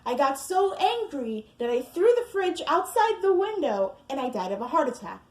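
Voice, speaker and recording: A woman's voice: high-pitched, very animated, normal speed, a little mellow, echoey room. A woman playing an indignant wronged husband in a comic story, aggrieved and emphatic.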